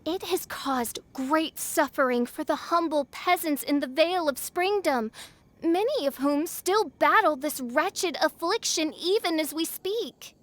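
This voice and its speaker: A woman's voice: high voice, expressive, measured pace, a bit bright. A woman voicing a haughty, theatrical young princess in a video game, speaking in pompous, flowery archaic phrases. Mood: grandiose. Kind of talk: theatrical game character acting.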